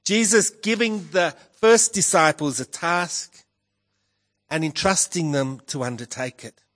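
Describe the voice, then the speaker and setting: A pastor delivering a sermon in a croaky voice, measured and emphatic, rising on key words. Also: male voice, a little high, a bit bright. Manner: slow, measured preaching with emphatic rises. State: hoarse, croaky voice with a cough.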